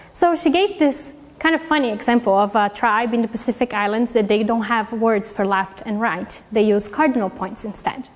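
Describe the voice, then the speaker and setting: Brazilian woman giving a heartfelt speech. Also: on the high side. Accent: Brazilian Portuguese. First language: Portuguese. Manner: earnest, heartfelt, with small nonnative grammar slips. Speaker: PhD student giving a speech. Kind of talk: prepared public speech. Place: hall with a live audience. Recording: reverberant hall.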